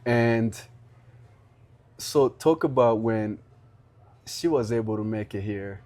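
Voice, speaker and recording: A young man from Rwanda hosting a podcast, talking in an earnest, halting way with many restarts. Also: lowish voice, a little mellow. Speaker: podcast host. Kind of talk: casual interview chat.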